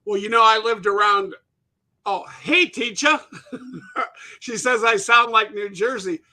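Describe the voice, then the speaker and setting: A man with a Chicago accent and a high, very sharp and bright voice. He talks in a quick, chatty, jokey way, laughing off his own remarks as he goes. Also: fairly even intonation. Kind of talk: casual conversation.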